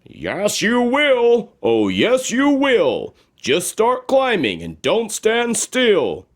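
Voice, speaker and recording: A man's voice: sits high, huge pitch swings, drawn-out words, voice pushed up, exaggerated voice. A man voicing a pair of cheerful, bossy magic shoes that give encouragement in a storybook. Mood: encouraging. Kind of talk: storybook character voice.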